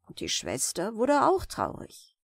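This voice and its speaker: A woman narrating a bedtime fairy tale in an expressive, deliberate storytelling manner. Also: on the high side, gravelly, no echo.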